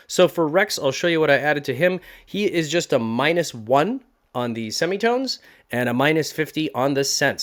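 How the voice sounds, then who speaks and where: A man hosting a tutorial as a voice actor, talking casually and informally with some self-deprecating humour. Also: mid-range voice. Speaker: host, voice actor giving a tutorial. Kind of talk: casual instructional talk.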